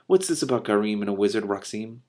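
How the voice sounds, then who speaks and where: A man voicing a calm, mildly sardonic female dragon from a storybook, speaking in a dryly pointed way. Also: lowish voice, quick pace, natural voice.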